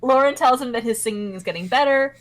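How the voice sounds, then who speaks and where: A woman's voice: on the high side, quick pace. A woman co-hosting a podcast, retelling a TV episode in a chatty way and laughing often. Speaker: podcast co-host. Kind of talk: casual episode recap.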